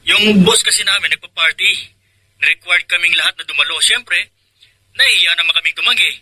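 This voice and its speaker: A man's voice: high-pitched, fairly quick, heavy background noise, close to an everyday voice. A man with a Filipino accent playing a chatty, helpful young coworker in a radio drama. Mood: apologetic, helpful. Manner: chatty, explanatory.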